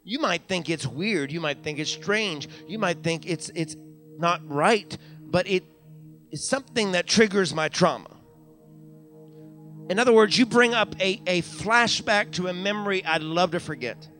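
A young pastor preaches to his congregation in a church sanctuary. His voice sits high and sounds bright and forceful, with soft music under him. He repeats lines for emphasis and drops in hallelujahs as he builds. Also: animated, a little slow. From United States. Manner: preaching with frequent hallelujahs and call-outs to the congregation; repeats phrases for emphasis, building to raised climaxes. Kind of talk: live church sermon. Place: church sanctuary during a live service.

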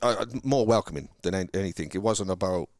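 A man from Wales with a Welsh accent, chatting casually about his sales career in a halting, rambling way, with many restarts. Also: sits low, a little mellow, no echo, clean studio sound. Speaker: car salesman guest. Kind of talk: casual conversation.

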